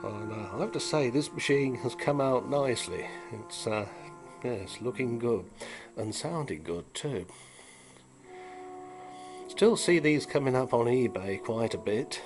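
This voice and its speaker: A man's voice: medium pitch, animated, measured pace, soft background music. A middle-aged British man chatting casually as a hobbyist demonstrator, rambling in a dry, wry, musing way. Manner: wry, dryly humorous, musing. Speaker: vintage audio hobbyist and repairer presenting.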